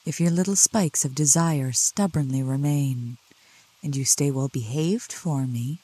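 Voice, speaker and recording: A woman voicing a gentle, teasing, possessive female demon of the woods in a soft, low ASMR roleplay, with tender, formal wording. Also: slightly rough, heavily acted, low-quality recording. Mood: soothing, possessive. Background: rain.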